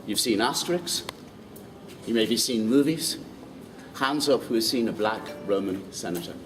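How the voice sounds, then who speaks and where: A man guiding a walking tour outdoors, lively and quizzing the group with questions. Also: lowish voice, normal speed, faint music behind. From Ireland. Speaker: tour guide. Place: outdoors in old city streets. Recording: distant mic, street noise.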